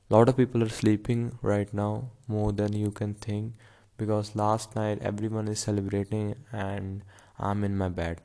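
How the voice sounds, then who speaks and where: A nineteen-year-old man talks slowly at home in a low, mellow voice. His delivery is flat and halting, with plenty of fillers and restarts in a casual vlog ramble. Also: gravelly. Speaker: vlogger.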